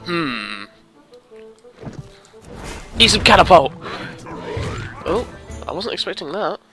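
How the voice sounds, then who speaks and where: A man's voice: high voice, big pitch swings, takes it slowly, sharp tone, soft background music. A man with a cold, sniffling as he chats casually through his card game, thinking aloud and rambling. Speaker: gaming commentator. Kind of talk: casual let's-play commentary.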